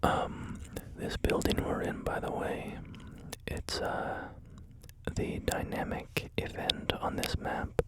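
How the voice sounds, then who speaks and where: A man whispering through a relaxed ASMR gaming video, rambling and joking about himself with many fillers. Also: slow pace. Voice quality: soft unvoiced whisper. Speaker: ASMR creator.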